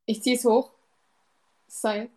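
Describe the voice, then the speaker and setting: A woman with a fairly high voice plays a tabletop role-playing game. She speaks casually, as a player saying what her character does. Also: level tone, extremely slow, warm tone.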